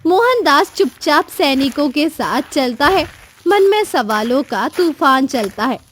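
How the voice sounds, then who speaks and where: A woman's voice: sits high, very animated, measured pace, a bit bright, slight background noise, heavily acted. A woman giving scripted storybook narration as the narrator of a cartoon tale.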